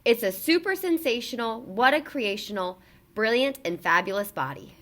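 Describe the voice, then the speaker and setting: A woman reading a children's picture book aloud in an expressive, emphatic storytelling delivery. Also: fairly high pitch, normal speed.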